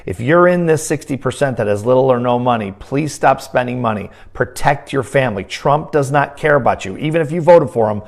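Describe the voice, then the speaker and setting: A man gives urgent commentary in a creaky, gravelly voice of medium pitch. He is grave and emphatic, delivering each statement like a firm warning. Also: lively intonation, brisk, hardly any pauses. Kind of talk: urgent economic commentary to camera.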